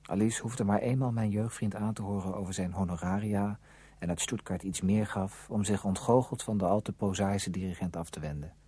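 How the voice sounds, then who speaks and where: An actor playing a lovelorn, brooding man narrating his memories in a radio drama, measured and literary. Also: lowish voice, steady tone, mellow, slightly rough, dry recording.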